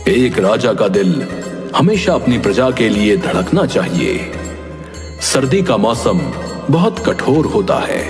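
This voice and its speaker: A man playing a just, fatherly king in an animated moral story, speaking gravely and with measured authority. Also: mid-range voice. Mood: stern, reproachful. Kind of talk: dramatic animated story dialogue. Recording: echoey palace-like reverb, faint music behind.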